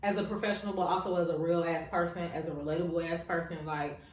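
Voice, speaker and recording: Casting note: woman podcast host, animated and loud when excited, laughs a lot, roomy echo. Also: on the low side, quick pace. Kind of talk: casual podcast chat.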